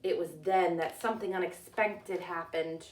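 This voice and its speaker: A woman narrating a children's storybook as a teacher reading aloud, with deliberate, expressive delivery. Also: fairly low pitch, recorded from a distance. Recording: echoey room, away from the mic.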